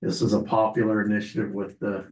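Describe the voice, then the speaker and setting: A man losing his voice, presenting agenda items at a public meeting in a businesslike way, clearing his throat often. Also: lowish voice, level tone, quick pace, a little mellow. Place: county meeting room. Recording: echoey meeting room, distant mic.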